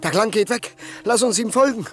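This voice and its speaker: An actor playing a jittery, foolish, excitable court jester in a 1960s radio play. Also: male voice, high voice, fairly quick, over music, natural voice. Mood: nervous curiosity.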